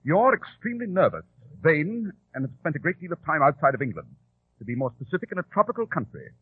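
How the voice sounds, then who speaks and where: An actor in 1940s radio style playing a shrewd, precise, dryly condescending English detective. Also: male voice, on the high side, big pitch swings, quick pace, husky, no echo. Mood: coolly analytical. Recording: tinny, band-limited old radio broadcast.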